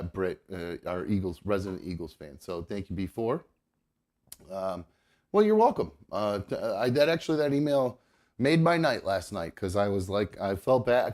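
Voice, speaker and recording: A man hosting a live podcast, chatting casually with viewers. He is stuffed up and gravelly from a cold, and he rambles with lots of fillers and restarts. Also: lowish voice, darkish tone. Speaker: podcast host.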